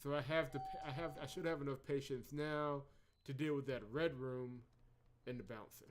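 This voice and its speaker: A man's voice: mid-range voice, steady tone, moderate pace, slight background noise. A man hosting a video game playthrough with casual commentary, sometimes reading on-screen text aloud. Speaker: host of a gameplay video series.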